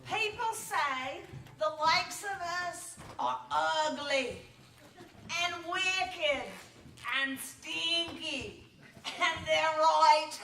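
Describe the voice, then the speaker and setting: An actress playing a ragged, wicked slum hag in a stage radio play, cackling and drawling her lowlife talk. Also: bright, forceful tone, full character voice. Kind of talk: stage radio-play character acting, mock sponsor ad. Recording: roomy live stage, distant mic.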